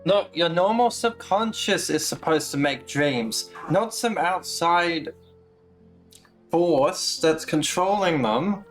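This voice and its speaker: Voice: mid-range voice, lively intonation, slow. A game streamer giving casual commentary, sarcastic, blunt and sweary. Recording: faint game music behind.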